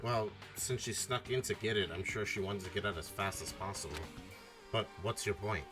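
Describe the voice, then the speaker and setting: A man's voice: on the low side, moderate pace, over music, natural voice. A man voicing an earnest, anxious, ordinary teenage boy who narrates and questions in a video game. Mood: puzzled. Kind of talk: amateur game dialogue and narration read aloud.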